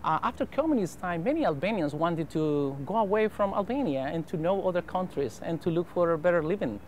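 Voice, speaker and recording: A man's voice: sits high, lively intonation, darkish tone. Salvadoran man in his 30s, Spanish-accented English, earnest pastor being interviewed, lapel mic outdoors, faint noise. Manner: earnest, careful English with filler pauses. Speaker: pastor and mission president. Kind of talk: interview answers. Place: outdoor rooftop terrace over a harbor.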